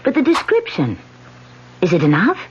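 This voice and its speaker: An actress playing an anxious, strong-willed politician with a guilty secret, dramatic and halting in an old-time radio mystery. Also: female voice, on the low side, wildly swooping pitch, breathy edge, exaggerated voice. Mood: nervous probing. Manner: dramatic, halting under strain. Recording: dull, noisy old radio broadcast.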